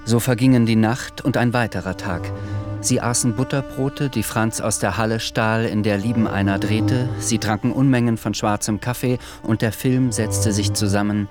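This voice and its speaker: Low-voiced man narrating a radio drama. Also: normal speed. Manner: calm literary storytelling. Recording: music underneath.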